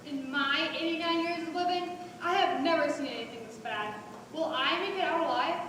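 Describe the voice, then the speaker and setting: A woman's voice: sits high, moderate pace, a bit bright. A woman playing a worried, grieving eighty-nine-year-old woman in a school stage play. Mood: fearful, grieving. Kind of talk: school one-act play acting. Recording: echoey hall from far off, faint noise.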